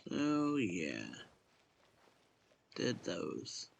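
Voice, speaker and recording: Slow, low-key man muttering game commentary. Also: huge pitch swings, gravelly, a little hiss or hum. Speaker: gaming streamer. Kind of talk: casual let's play commentary.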